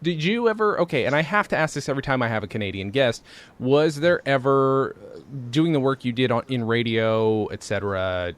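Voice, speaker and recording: A man's voice: mid-range voice, smooth. A man from upstate New York with an American accent, chatting enthusiastically and a bit ramblingly as a podcast host. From upstate New York, United States. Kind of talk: casual interview chat.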